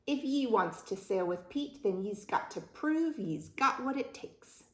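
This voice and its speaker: A woman's voice: on the high side, animated, a little slow, echoey room. A woman voicing a bossy, squawky parrot that barks orders in pirate dialect for a storybook.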